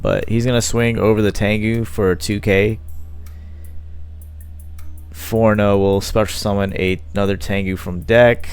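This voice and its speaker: A man's voice: fairly low pitch, little pitch movement, measured pace, long pauses, clean tone, faint music behind. A man giving casual play-by-play commentary on a card game match, thinking aloud with frequent fillers. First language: not Italian.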